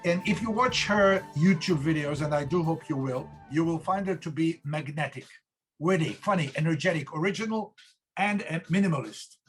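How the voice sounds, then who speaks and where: A man hosting an interview, introducing his guest in a formal, deliberate way. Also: on the high side, faint music behind. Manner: formal, listing praise deliberately. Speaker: host.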